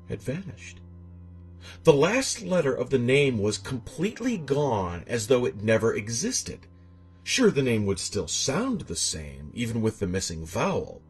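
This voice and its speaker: A man's voice: mid-range voice, a little mellow, slightly rough. A man narrating a scripted horror story in a theatrical, suspenseful way.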